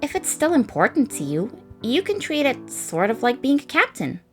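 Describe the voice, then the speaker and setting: A woman's voice: high-pitched, wildly swooping pitch, moderate pace, faint music behind. A woman voicing a thoughtful, encouraging young woman in a comic dub of a space adventure. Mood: gentle, encouraging.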